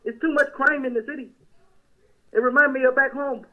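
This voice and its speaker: A man's voice: extremely high pitch, steady tone, brisk, bright, forceful tone, heavily acted. A man on a phone call, voicing a pushy prank caller posing as a man. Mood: pleading. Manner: insistent, defensive, crude. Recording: dull lo-fi phone line.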